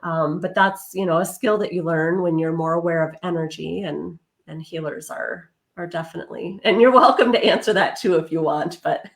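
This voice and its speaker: Lowish-voiced woman podcast host. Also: normal speed, vocal fry, few pauses. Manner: chatty, explains terms for viewers. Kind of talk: casual spiritual interview chat. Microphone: livestream video call.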